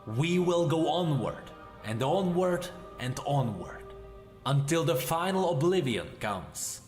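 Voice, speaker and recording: A man voicing a bitter, brooding ancient fallen warrior demon in a slow, solemn, theatrical monologue. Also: on the high side, big pitch swings, a little mellow, echoey room, soft background music. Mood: grim resolve.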